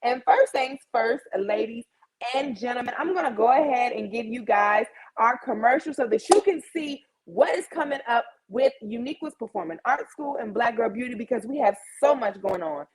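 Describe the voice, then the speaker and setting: A woman's voice: on the high side, lively intonation, normal speed, a crowd in the background, rough recording. A woman of about thirty hosting a casual livestream, chatting informally and slangily straight to her audience. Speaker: host of a live chat show.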